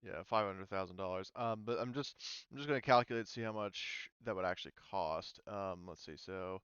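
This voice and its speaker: A man's voice: sits low, normal speed, fairly bright tone, smooth, dry recording, lo-fi sound. A man acting as game master, talking players through a tabletop game in a casual, informal way with some swearing.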